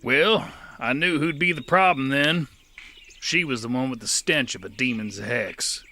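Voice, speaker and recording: A man's voice: medium pitch, measured pace, pushed and bright. A man voicing a world-weary gunslinger. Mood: steady. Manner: dry, terse, world-weary. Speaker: narrator and lead. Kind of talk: audio drama narration.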